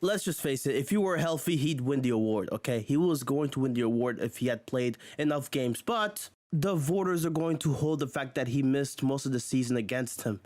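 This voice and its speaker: A man's voice: medium pitch, animated, fairly quick, few pauses, a little mellow, no echo. Chatty man in a dry booth. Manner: chatty, chuckles often, exclaims. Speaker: video host. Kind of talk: casual sports commentary over clips.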